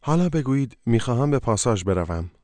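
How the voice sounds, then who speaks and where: A man's voice: mid-range voice, sing-song, rapid-fire, darkish tone, no room sound. A man narrating a language course, giving short, directive prompts for the listener to repeat. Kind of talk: scripted instruction.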